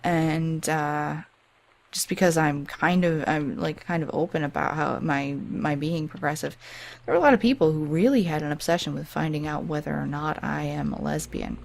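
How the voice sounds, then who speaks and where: A woman with a deep voice giving casual game commentary in an earnest, hesitant, reflective manner. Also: moderate pace, vocal fry. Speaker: let's play video creator.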